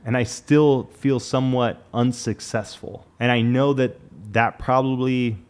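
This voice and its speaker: A man's voice: medium pitch, fairly even intonation, unhurried, smooth. A man in his late thirties talking frankly to camera in a casual, reflective monologue, with lots of "like" in his speech. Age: 30s. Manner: frank and conversational, peppered with "like". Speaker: vlogger.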